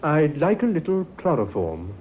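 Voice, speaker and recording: An actor playing a polite, hesitant, pious young reverend in a 1950s radio drama. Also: male voice, on the high side, wildly swooping pitch, normal speed. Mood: earnest, uneasy. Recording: muffled, noisy old radio recording.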